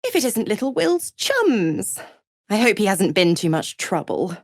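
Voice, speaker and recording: A woman's voice: mid-range voice, very animated, measured pace, no room sound, clean studio sound. An actress voicing a seductive, cruel and smug female devil in a video game, with a teasing, condescending, theatrical drawl. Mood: cool, smug. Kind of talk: video game character voice acting.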